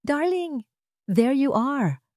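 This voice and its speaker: A woman voicing a haughty, possessive rich woman in an audio drama, sweet but shrill and suspicious. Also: very animated, drawn-out words, a little mellow, no room sound, studio quality, exaggerated voice. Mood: sweetly suspicious.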